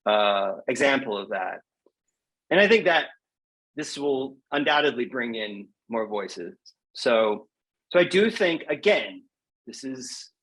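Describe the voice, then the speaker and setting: A man talks as a guest in a conversational podcast interview. He sounds earnest and emphatic, often restating a phrase to drive it home, with a medium-pitched voice. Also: fairly bright tone, poor audio. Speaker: interview guest, poet and prize judge.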